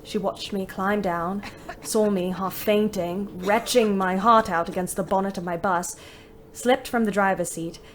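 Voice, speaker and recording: Actress as an articulate young wartime diarist, mid-pitched. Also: female voice, fairly even intonation, measured pace, slight background noise, lightly acted. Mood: haunted. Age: 20s. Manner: articulate, literary storytelling. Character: earnest young woman ambulance driver recounting war diary. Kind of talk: first-person audio play narration.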